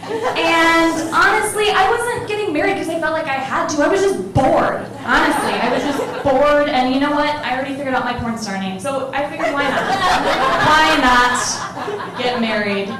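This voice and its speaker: A woman's voice: high voice, moderate pace, a loud crowd. A woman in her forties from Ohio doing a self-deprecating stand-up comedy set, chatty and full of ums. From Ohio, USA. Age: 40s. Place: comedy club stage, large room. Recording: roomy live club recording with noise.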